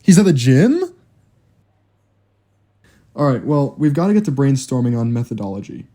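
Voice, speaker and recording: Audio drama: conscientious student, tense about work, very mellow timbre, natural delivery. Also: male voice, medium pitch, very animated, normal speed. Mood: businesslike, stressed. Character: diligent, stressed male college student.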